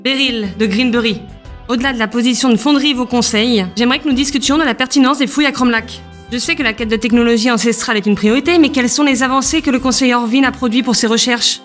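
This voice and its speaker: A woman plays an earnest councilwoman in an audio drama. She has a high voice and speaks quickly, articulate and insistent, over faint music. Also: close to an everyday voice. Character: earnest, indignant woman council representative, passionate about monsters. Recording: music bed behind the voice.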